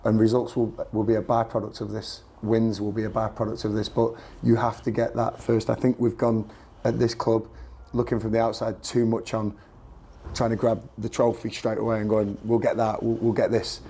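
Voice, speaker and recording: Croaky, gravelly football manager. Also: male voice, fairly low pitch, completely flat delivery, moderate pace, mellow. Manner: earnest, repeats himself while searching for words. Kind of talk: post-match press interview.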